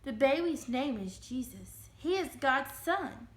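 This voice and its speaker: A woman playing a gentle young mother in a storybook, speaking tenderly and reverently. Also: high voice, animated, takes it slowly. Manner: soft, tender. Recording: roomy, echoing room sound.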